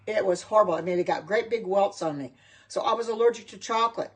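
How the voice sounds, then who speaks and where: A woman's voice: medium pitch, fast, slightly rough. A middle-aged woman from the United States, chatting casually in her home kitchen on a livestream, rambling and answering viewers' comments. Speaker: home cook and vegan livestreamer.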